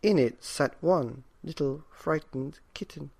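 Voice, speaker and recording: A man narrating a bedtime story for a podcast, deliberate, with dramatic pauses. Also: on the high side, creaky, a little mellow, slightly rough, no room sound.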